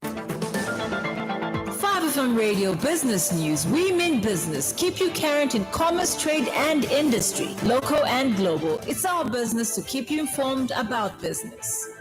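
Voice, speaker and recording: A woman's voice: high-pitched, big pitch swings, measured pace. A woman delivering a scripted promotional radio ident in punchy, slogan-like phrases. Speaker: station jingle announcer. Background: music bed.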